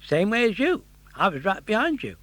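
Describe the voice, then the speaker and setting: A man's voice: sits high, wildly swooping pitch, normal speed, fairly bright tone, no echo, pitched above the performer's own voice, exaggerated voice. A man voicing a friendly, chatty tunny fish in a storybook reading. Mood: cheerful.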